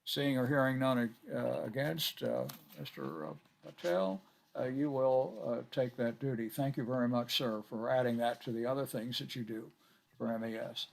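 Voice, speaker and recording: An older man chairing a board meeting on a video call, courteous and halting with many filler sounds. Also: medium pitch, vocal fry.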